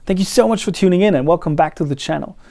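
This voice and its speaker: A young man hosting his own channel, talking casually and explaining guitar recording gear in a relaxed, friendly way, with light self-deprecating asides. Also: high-pitched, fast, vocal fry.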